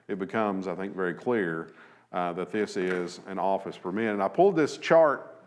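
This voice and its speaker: A man in his thirties teaching a Bible lesson from a church lectern, measured and thoughtful, with frequent fillers and restarts. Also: on the low side. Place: church auditorium at a lectern, slides behind.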